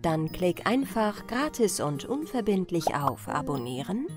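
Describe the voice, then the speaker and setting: A woman narrating a fairy tale as a bedtime audiobook, in a measured storytelling manner. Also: fairly low pitch, big pitch swings, over music.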